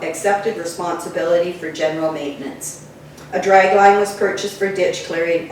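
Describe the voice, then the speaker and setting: A woman with a fairly low voice narrates local history from a written text. Her phrasing is measured and deliberate. The recording is very echoey and sounds far from the microphone. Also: a little mellow. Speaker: reader of a local history book. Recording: very echoey room, distant mic, faint noise.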